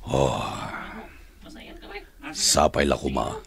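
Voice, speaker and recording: Very deep gravelly uncle in prison. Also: male voice, very slow, heavily acted. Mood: wistful, brooding. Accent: Filipino, Ilocano. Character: gruff older male prisoner, lovelorn and teasing, called uncle. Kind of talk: comedic radio drama acting. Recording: faint music bed.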